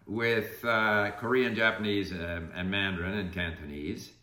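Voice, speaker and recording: A man's voice: fairly low pitch, fairly even intonation, a little slow, bright, forceful tone, echoey room, slight background noise. A man hosting a casual interview, asking curious follow-up questions. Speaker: interviewer, channel host.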